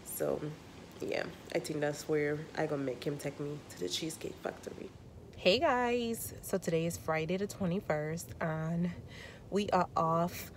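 A woman's voice: sits low, measured pace, slight background noise. A woman in her thirties, congested and coughing from a cold, chatting casually and rambling to her phone camera, first at home and then in a parked car. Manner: chatty, rambling, with many fillers. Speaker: vlogger. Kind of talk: casual vlog chat to camera. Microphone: phone camera at arm's length.

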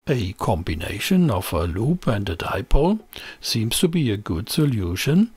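A middle-aged man reading a scripted technical tutorial in a measured, explanatory way into a close microphone. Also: mid-range voice, creaky. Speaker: presenter of an electronics tutorial channel. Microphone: close voice-over microphone.

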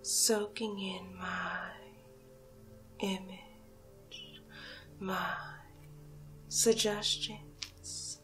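A woman playing a commanding, coaxing alien cow captor in a breathy, whispered hypnosis ASMR roleplay. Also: medium pitch, flat delivery, bright, forceful tone. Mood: hypnotic, commanding. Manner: slow, deliberate, coaxing, commanding. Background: music.

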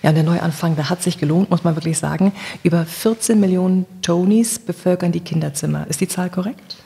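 A woman with a fairly low, husky voice speaks as a podcast host. She presents her guests in a prepared, interviewing style, and her voice carries a long reverb. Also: a little fast, warm tone. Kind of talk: prepared introduction and interview questions.

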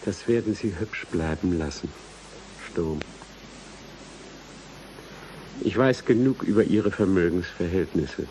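Guy playing snooty scheming professor in a radio play, talks down to people, lowish voice, bit of noise behind. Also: normal speed, warm tone, close to an everyday voice, rough recording. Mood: cold, threatening. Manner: haughty, condescending. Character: arrogant, spiteful male university professor, scheming and condescending. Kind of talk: German radio play acting.

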